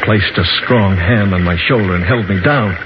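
An American actor playing a frightened, wounded man trapped in the dark, narrating a 1950s radio horror drama in a grave, dramatic delivery. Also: fairly low pitch, very noisy, lightly acted. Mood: grim, weary. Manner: dramatic, grave, measured delivery rising to anguish. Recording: dull vintage 1950s radio recording. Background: dramatic music.

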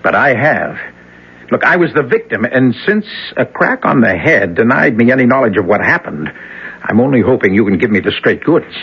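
An actor in a radio drama playing an impatient, defensive young student who dabbles in Satanism. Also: male voice, medium pitch, sing-song, moderate pace, husky, heavily acted. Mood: wary, irritated. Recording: muffled old radio broadcast.

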